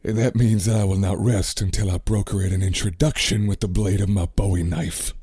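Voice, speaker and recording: An actor playing a bold, loyal cowboy gentleman in a radio play, with a courtly, florid, folksy manner. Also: low-pitched, steady tone, a little fast, husky, dry recording, studio quality. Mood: resolute.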